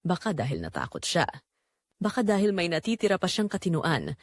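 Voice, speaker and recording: A woman narrating a scripted first-person romance drama in measured, deliberate short phrases. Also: lowish voice, huge pitch swings, dry recording, studio quality.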